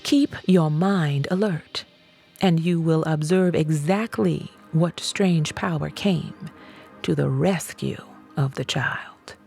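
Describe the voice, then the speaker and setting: A woman narrates an audiobook with slow, deliberate, dramatic phrasing. Her voice sits on the low side with a gravelly creak, and she reads expressively as a storyteller. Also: a little mellow, faint music behind.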